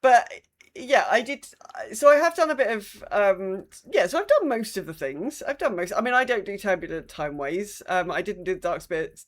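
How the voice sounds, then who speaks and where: A woman co-hosting a casual gaming podcast, speaking hesitantly and repeating herself as she goes. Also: medium pitch. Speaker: podcast host.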